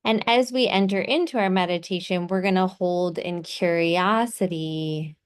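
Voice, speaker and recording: Slow, smooth-voiced woman guiding a meditation. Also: lowish voice. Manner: unhurried, pausing between short phrases, soothing. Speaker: meditation guide. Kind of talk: guided meditation, gently instructive.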